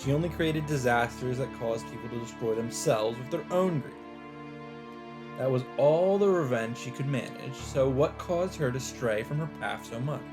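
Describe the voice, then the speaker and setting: A man reading a visual novel aloud as a let's play host, in dramatic, expressive narration with deliberate phrasing and pauses. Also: medium pitch. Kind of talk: dramatic narration read aloud from on-screen text. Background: background music.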